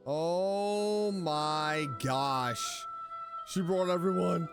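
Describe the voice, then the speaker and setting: A woman's voice: on the low side, drawn-out words, over music. A woman streamer reacting casually to a video game as she plays it. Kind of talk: casual live gameplay commentary.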